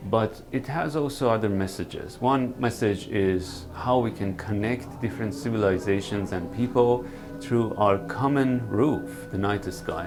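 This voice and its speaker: Earnest man, low voice. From Iran. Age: 40s. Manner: calm, earnest and reflective, with small hesitations. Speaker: night sky photographer. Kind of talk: documentary interview monologue.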